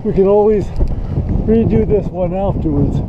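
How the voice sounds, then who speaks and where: A man's voice: high voice, moderate pace. A man giving casual, practical work instructions to helpers, thinking aloud in short phrases outdoors. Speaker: work crew leader. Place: outdoors on a snowy windy mountain. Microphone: body-worn action camera. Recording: muffled action camera, loud wind noise.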